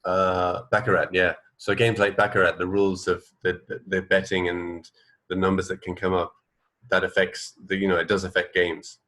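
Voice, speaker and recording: A man's voice: sits low, level tone, moderate pace, fairly bright tone. A man co-hosting a radio show, chatting casually in an unscripted, conversational way. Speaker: radio co-host. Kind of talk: casual chat between music.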